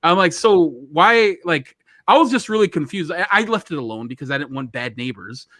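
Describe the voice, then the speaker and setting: Animated storytelling man, dry sound. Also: a little high, moderate pace, no room sound. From United States. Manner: animated, acts out dialogue, many "you know"s. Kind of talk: casual storytelling chat.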